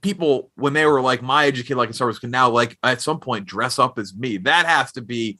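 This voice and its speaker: A man's voice: medium pitch, fairly quick, bright, forceful tone. A man hosting a casual interview, asking informal, rambling questions like a fan. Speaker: podcast interviewer.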